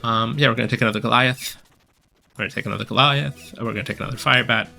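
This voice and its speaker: A man calmly explaining a game strategy while he plays, a little hoarse from a cold, with many filler ums. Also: medium pitch, rapid-fire, soft background music. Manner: relaxed, explanatory, frequent ums. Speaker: gameplay guide commentator. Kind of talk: casual gameplay commentary.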